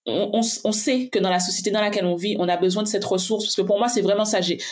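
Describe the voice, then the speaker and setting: Woman, finance coach guest, pragmatic, reflective, thinks aloud, middle pitch. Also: fast, darkish tone. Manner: reflective, pragmatic, many restarts and fillers while thinking aloud. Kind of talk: casual conversation.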